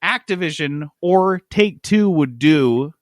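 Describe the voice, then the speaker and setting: Animated man joking on a gaming podcast. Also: fairly high pitch, a little slow, no room sound, studio quality. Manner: riffing, sarcastic. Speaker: podcast host. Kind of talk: casual comedic gaming chat.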